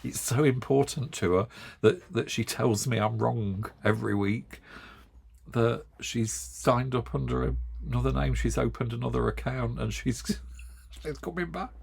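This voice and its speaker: Gravelly English man chatting wryly. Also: mid-range voice, normal speed. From England. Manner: chatty, wry, chuckles often. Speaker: knitting channel host. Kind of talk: casual chat to camera.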